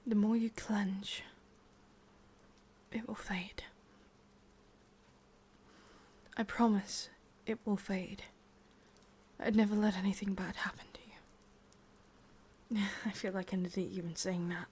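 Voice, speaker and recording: A woman with an English accent playing a sadistic, possessive but secretly tender witch in a breathy, whispered ASMR roleplay. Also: mid-range voice, a little mellow. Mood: soothing, tender, then exasperated, pained. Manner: slow, halting, teasing, swears under her breath.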